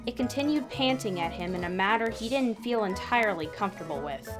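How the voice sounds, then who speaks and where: A woman reading fan fiction aloud as an expressive third-person narrator with dramatic pauses. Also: medium pitch. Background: background music.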